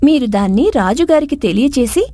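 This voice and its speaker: A woman acts out a young princess of a storybook kingdom, scorned yet wise. Her voice is high and earnest, with solemn, formal phrasing. The recording is very dry, with no room sound. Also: lively intonation, brisk, warm tone, no echo. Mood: earnest, sorrowful. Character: spurned dark-skinned young princess, earnest, wise, wronged. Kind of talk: dramatised moral folk tale.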